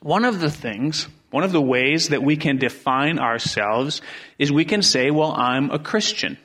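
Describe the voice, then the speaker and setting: A man's voice: a little high, animated, measured pace, fairly bright tone. A middle-aged man from Wisconsin preaching a Sunday sermon in a church, with a conversational, rambling delivery full of restarts. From Wisconsin, USA. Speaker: pastor. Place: church sanctuary.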